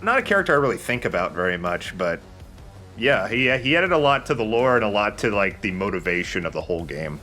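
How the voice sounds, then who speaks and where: Low-voiced man chatting over game music. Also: very animated, a little fast, bright, forceful tone. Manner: sweary, opinionated. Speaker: let's play commentator. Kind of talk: casual video game commentary chat. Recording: background music under the voice.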